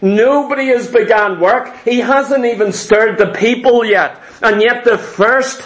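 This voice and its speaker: High-pitched male preacher, emphatic and direct. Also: unhurried, a bit bright, poor audio. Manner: emphatic, repetitive, direct address to listeners. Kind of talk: sermon to a church congregation. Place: live before a congregation in a room.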